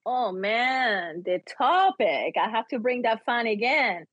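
A woman's voice: a little high, very animated, takes it slowly, a bit bright, slightly rough, no echo, lo-fi sound. A woman with a non-native English accent telling personal stories as an interview guest, emphatic and theatrical, often voicing her own thoughts. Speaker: interview guest, book co-author, teacher and performer. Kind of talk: animated personal storytelling in an interview.

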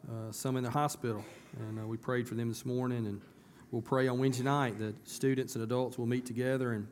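A hoarse man, a pastor talking to his congregation in a casual, folksy way that turns emphatic. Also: mid-range voice, flat delivery, fairly quick, a little mellow, slight background noise. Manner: folksy, conversational, rising to emphatic. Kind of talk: casual church announcements and sermon. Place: church sanctuary.

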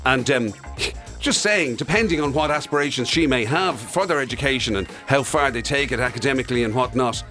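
A man with an Irish accent co-hosting a casual comic podcast, teasing, animated and sweary. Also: medium pitch, a little fast, soft background music. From Ireland. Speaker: podcast and radio host. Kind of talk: casual comic banter between co-hosts.

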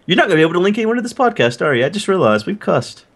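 A woman's voice: on the low side, sing-song, racing. A woman bantering casually in a group podcast conversation.

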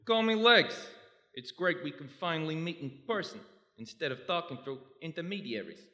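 A brash, menacing New gangster businessman in a comic radio play. His voice is high and bright, cocky and familiar, recorded in a reverberant hall. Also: expressive, moderate pace. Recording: echoey hall, live stage recording.

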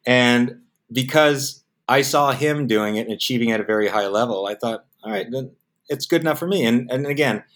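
A middle-aged man from the United States, a film producer speaking in a casual interview, telling stories and acting out the voices of people he quotes. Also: moderate pace. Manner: animated storyteller, acts out quoted voices with jumps in pitch; repeats words when restarting.

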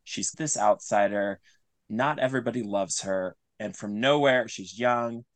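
A man with a fairly low voice talks as a guest in an interview. He explains things earnestly and conversationally, circling back to restate phrases. The sound is very dry, with no room around it. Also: measured pace, dry recording. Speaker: interview guest, audio drama creator.

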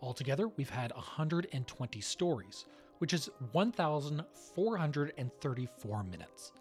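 A man's voice: medium pitch, big pitch swings, normal speed, darkish tone, faint music behind. A man hosting a podcast, reading scripted narration. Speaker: podcast host.

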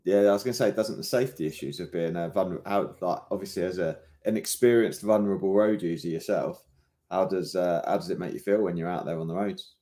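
Deep, mellow British man, matey host. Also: fast. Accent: British English. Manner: matey, encouraging, says "mate" often. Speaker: podcast host. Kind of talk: casual podcast interview.

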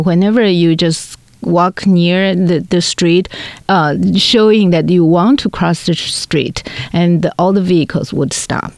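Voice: mid-range voice, a little mellow, no echo. A speaker from Taiwan, interviewed, recounting experiences earnestly and carefully. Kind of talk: casual interview.

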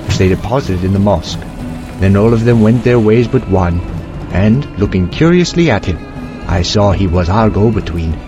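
A man's voice: fairly low pitch, low-quality recording. A man narrating an audio drama in the first person as a young merchant, with theatrical, archaic storybook diction.

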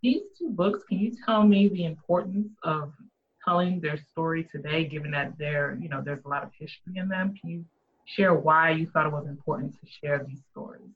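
A woman hosts a podcast in a friendly, chatty tone, her voice sitting slightly low. The recording sounds dull and lo-fi, like a modest home setup. She asks her questions with easy curiosity. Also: moderate pace. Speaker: podcast host. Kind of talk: casual interview chat.

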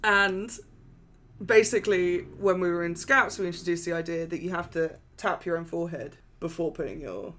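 A woman's voice: fairly low pitch, normal speed, fairly bright tone. Giggly woman co-hosting a chatty podcast. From England. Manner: giggly, rambling storyteller. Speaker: podcast co-host. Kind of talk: casual chat. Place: home flat.

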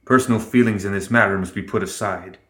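Formal gremlin diplomat voiced by a man, measured and solemn, crisp forceful tone, roomy recording. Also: on the low side, natural voice.

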